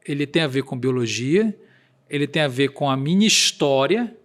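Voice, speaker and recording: A man's voice: mid-range voice, animated, moderate pace. A man with a regional accent, a podcast guest explaining earnestly and acting out quoted dialogue. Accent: Brazilian Portuguese regional accent.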